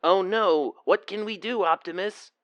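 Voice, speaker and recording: A man voicing an earnest, excitable nine-year-old boy talking in a childlike way on a walkie-talkie in an audio story. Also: high voice, measured pace, no room sound, muffled recording, pitched above the performer's own voice. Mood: mock alarm.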